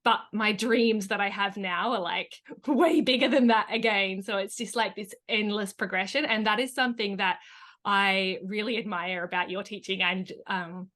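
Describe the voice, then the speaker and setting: A woman's voice: medium pitch, moderate pace, fairly bright tone. A woman hosting an interview, enthusiastic and chatty, with many casual likes. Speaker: host. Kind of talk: interview host.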